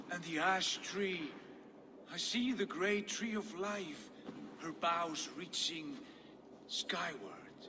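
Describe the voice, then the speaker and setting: An actor playing a fervent Norse warrior lord entranced by visions, speaking slowly and portentously in a game cutscene. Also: male voice, on the high side. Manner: slow, portentous, dramatic. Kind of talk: video game cutscene acting. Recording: long hall reverb with background noise.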